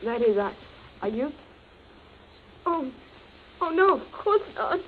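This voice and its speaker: A woman's voice: sits high, sing-song, takes it slowly, heavily acted. Genteel young woman, uneasy and hesitant; old radio play, thin sound with faint noise. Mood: nervous, faltering. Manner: faltering, hesitant. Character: nervous, guilty young daughter of genteel family. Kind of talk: old-time radio drama acting. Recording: tinny, noisy vintage radio recording.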